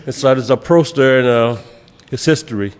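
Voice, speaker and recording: A man, talking casually in a courtroom, halting and repeating his phrases. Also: mid-range voice, smooth. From South Carolina, United States. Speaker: husband appearing in court. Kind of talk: casual storytelling and short replies. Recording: echoey courtroom with long reverb.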